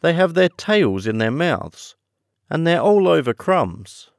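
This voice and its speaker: A man's voice: on the high side, big pitch swings, slow pace, no echo, clean studio sound, voice pushed up, heavily acted. A man voicing a polite, curious and slightly timid young girl in a children's storybook, in an earnest, wondering manner. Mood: polite, thoughtful.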